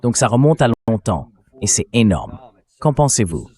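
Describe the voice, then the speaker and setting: A man's voice: lowish voice, wildly swooping pitch, moderate pace, darkish tone. Male political show host. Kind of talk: conversational political commentary.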